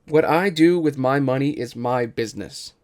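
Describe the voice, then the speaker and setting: A man's voice: medium pitch, moderate pace, a little mellow, natural voice. A man voicing a stubborn, commanding gambling father who is proud and curt, in a dramatic story reading. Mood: annoyed, defiant.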